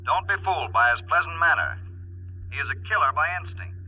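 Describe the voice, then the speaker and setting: A man's voice: fairly high pitch, expressive, fairly quick. An actor playing a stern radio newsman giving an urgent, clipped fugitive bulletin through a car radio in a 1940s drama. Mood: urgent warning. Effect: heard through a car radio. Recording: distant, muffled car radio with noise.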